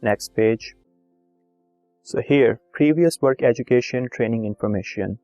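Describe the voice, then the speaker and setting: A man whose first language is Urdu, calmly walking viewers through an online form step by step and reading each field aloud. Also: medium pitch, unhurried, dry recording, highs cut off, faint music behind. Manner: plain instructive, reads form fields aloud, repetitive. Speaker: video tutorial host. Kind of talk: screen-recorded step-by-step walkthrough.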